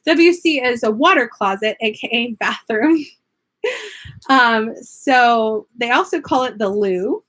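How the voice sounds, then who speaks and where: An American woman with a slightly higher voice talks through her crafting in a loose, chatty way. She laughs easily and wanders off on tangents, like a vlogger talking to her viewers. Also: lively intonation, slow pace, a bit bright. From United States. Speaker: craft vlogger and online teacher. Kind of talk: casual chat while crafting.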